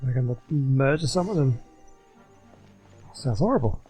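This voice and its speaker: A man's voice: mid-range voice, animated, measured pace, warm tone, soft background music. A man giving casual, musing commentary while playing a game. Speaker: gameplay streamer.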